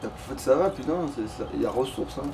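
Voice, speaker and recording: A man's voice: medium pitch, fairly quick, gravelly. A man giving casual, sweary gaming commentary, rambling and repeating himself as he chats with a friend. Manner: informal, sweary, rambling and repetitive. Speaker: let's play gamer. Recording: distant mic with faint noise.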